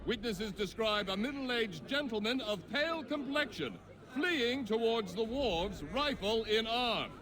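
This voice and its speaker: An actor playing a colonial town crier in a video game, proclaiming news in a projected, formal, declamatory way. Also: male voice, very high-pitched, lively intonation, a little slow, a crowd in the background, low-quality recording. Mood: loud, proclaiming. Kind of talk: video game cutscene acting.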